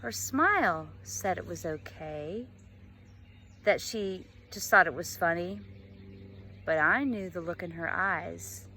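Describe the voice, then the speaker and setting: Female storybook reader, bright clear-cut tone, animated delivery, outdoor garden setting. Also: lowish voice, slow, slight background noise. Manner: animated, acts out dialogue. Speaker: teacher reading a book aloud. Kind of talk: expressive storybook read-aloud. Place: outdoors in a garden bed in a yard.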